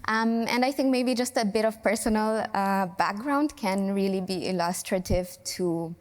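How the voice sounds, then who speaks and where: A woman from Romania speaking as a civil society panelist in a panel discussion, earnest and measured, with frequent pauses and fillers. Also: medium pitch, long reverb tail.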